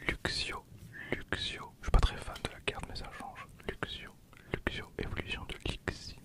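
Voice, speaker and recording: Whispering young man, ASMR style. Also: normal speed. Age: 20s. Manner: hushed, softly murmured, informal. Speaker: ASMR video creator. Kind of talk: whispered ASMR, casual card-opening commentary.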